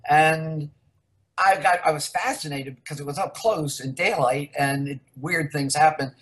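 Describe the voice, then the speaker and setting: A woman's voice: low voice, a bit bright. Older American woman telling stories unhurriedly. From United States. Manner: rambling, candid, unhurried storytelling. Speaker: researcher guest. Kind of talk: casual interview.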